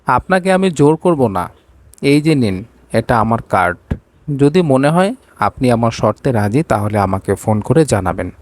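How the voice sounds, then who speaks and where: Rich, icy boss for a romance audio story played by a man, curt, mid pitch. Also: very animated, a little slow, darkish tone, dry recording. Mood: businesslike. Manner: curt, commanding, slips into English. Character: cold, domineering rich male boss in a romance.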